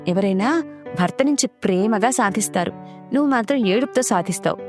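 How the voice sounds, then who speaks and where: A woman's voice: medium pitch, animated, a little fast, darkish tone, faint music behind, lightly acted. A woman voicing a sleepy, grumbling parrot husband in a cartoon story, put-upon and reluctant. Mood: reluctant, exasperated.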